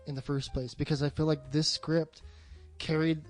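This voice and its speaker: A man's voice: on the high side, little pitch movement, moderate pace, soft background music. A man chatting casually as a podcast co-host, retelling a plot in colloquial, sweary language. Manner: profane, colloquial.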